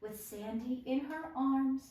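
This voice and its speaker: A woman narrating at a table read, announcing characters and reading stage directions with deliberate emphasis. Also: high-pitched, warm tone. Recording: very echoey room, distant mic.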